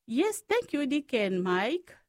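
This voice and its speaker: A woman from the Democratic Republic of the Congo with a Congolese accent, telling personal stories as an interview guest in short, halting phrases of non-native English. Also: high-pitched, very animated, no room sound, clean studio sound. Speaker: guest, Franciscan sister.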